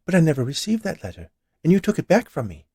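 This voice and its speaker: A man's voice: on the high side, wildly swooping pitch, fast talker, dark tone, breathy edge, no echo, clean studio sound, voice pushed up, full character voice. A man reading a story, voicing a cheerful, chatty woman who keeps a confectionery shop and naively argues. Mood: puzzled, insistent. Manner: annoyingly cheerful, rattling off lists.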